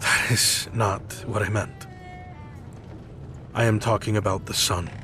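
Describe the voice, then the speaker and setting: A man's voice: fairly low pitch, steady tone, measured pace, bright, forceful tone, a little hiss or hum. A man playing a brooding, guilt-ridden soldier of a sun god in a video game, grim, terse and weary. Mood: solemn, doubting. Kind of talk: dramatic video game acting.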